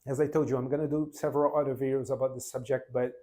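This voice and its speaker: A man in his forties from Brazil with a Brazilian Portuguese accent, whose first language is Portuguese, telling his own story unscripted in an earnest, halting way with many restarts. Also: mid-range voice, little pitch movement, dark tone. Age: 40s. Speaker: vlogger. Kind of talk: spontaneous personal testimony.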